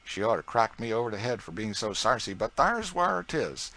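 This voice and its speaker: A man's voice: fairly low pitch, sing-song, normal speed, pushed and bright, voice pushed up, full character voice. A man voicing a proud, talkative middle-aged woman cook, with thick old rural dialect speech. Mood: boastful. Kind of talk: audiobook character reading.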